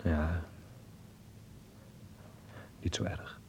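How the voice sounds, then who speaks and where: A man acting a sick, frightened, earnest teenage boy in a radio play, speaking in a halting, intimate, creaky half-whisper. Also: a little mellow. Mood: guarded, pensive. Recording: muffled old radio play recording.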